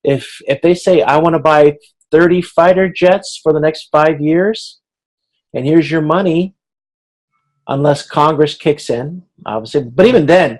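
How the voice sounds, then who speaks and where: A man instructing in an informal online tutorial, thinking aloud in a halting way, correcting himself and checking that he is being followed. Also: a little high, a little mellow. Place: online video conference.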